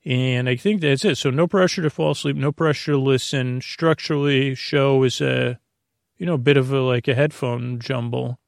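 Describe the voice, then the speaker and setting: A man hosting a bedtime podcast, rambling in a halting, meandering, self-interrupting way with a creaky voice. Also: mid-range voice, fairly even intonation, no room sound, clean studio sound. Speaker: podcast host.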